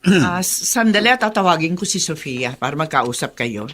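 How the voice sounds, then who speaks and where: A woman's voice: sits low, sing-song, moderate pace, a bit bright, heavily acted. A woman playing a worried, pleading middle-aged mother in a Filipino radio drama, emotional and hesitant. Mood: uneasy.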